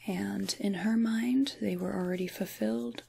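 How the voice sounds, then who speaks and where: A woman playing a soothing, mysterious witch in an ASMR tarot roleplay, speaking softly and half-whispering with pauses, close to the microphone. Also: medium pitch, steady tone, creaky, husky. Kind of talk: soft-spoken ASMR roleplay, tarot reading.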